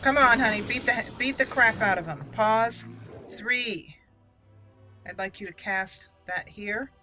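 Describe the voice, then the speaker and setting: Bright-voiced woman gamer thinking aloud. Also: on the high side, measured pace. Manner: chatty, thinking aloud, exclaiming. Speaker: gameplay video host. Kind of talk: casual gaming commentary. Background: game music.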